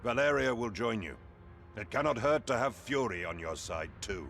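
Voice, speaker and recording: Solemn, commanding holy war leader. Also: male voice, medium pitch, expressive, gravelly, fairly bright tone. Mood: resolute. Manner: formal, deliberate, commanding. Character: solemn, resolute male leader of holy warriors. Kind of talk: video game voice acting. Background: game music.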